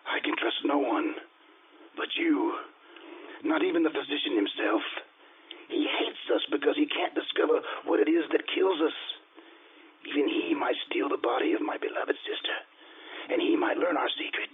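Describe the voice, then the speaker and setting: An actor playing a morbid, tormented aristocrat slipping into madness, brooding and intense in an old-time radio horror style. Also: male voice, a little high, moderate pace, breathy edge. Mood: haunted grief. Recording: muffled, band-limited radio recording.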